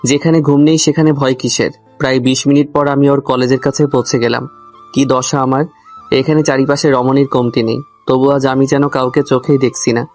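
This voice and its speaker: A man tells a comic first-person love story over soft background music. His delivery stays fairly contained in range, with a grumbling, confiding manner aimed straight at the listener. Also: mid-range voice, flat delivery, normal speed. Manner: grumbling, addresses the listener directly. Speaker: narrator and lead character of a love story. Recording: music under the voice.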